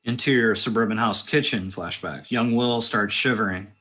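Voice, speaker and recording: A man's voice: fairly low pitch, moderate pace, pushed and bright. A man directing a table read, reading stage directions aloud and adding casual asides.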